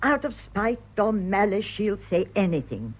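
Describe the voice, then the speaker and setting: An actress playing a sharp-tongued, stubborn older village woman with an English accent, imperious and sardonic, in a radio drama. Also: mid-range voice, very animated, unhurried. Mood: determined. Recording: dull, band-limited radio drama recording.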